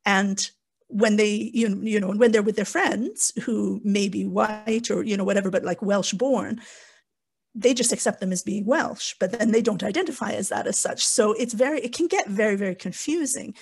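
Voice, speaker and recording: A woman's voice: mid-range voice, normal speed, vocal fry, husky. A frank woman talking in a panel discussion, rambling through restarts and asides. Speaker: panel guest. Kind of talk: candid conversational discussion.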